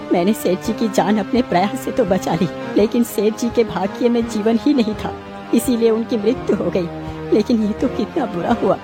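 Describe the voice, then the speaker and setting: A woman's voice: medium pitch, close to an everyday voice. A woman voicing a noble, earnest male king with a formal, polite way of speaking. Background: clear background music.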